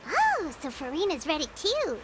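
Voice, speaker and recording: A woman voicing a tiny, excitable girlish companion who speaks in an eager, squeaky, blurting way, in a video game. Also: moderate pace, heavy background noise, heavily acted. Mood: curious. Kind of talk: game voice acting. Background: game music.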